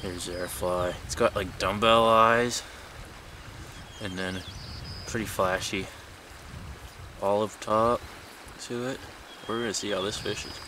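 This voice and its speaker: A young man talking casually and excitedly about fishing, tired after a night without sleep, recorded outdoors at a lakeshore. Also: fairly low pitch, takes it slowly, long pauses. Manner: excited, rambling fishing talk. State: tired from staying up all night. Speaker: fishing vlogger. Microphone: camera on the shore at a distance. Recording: camera mic at a distance, outdoor water noise.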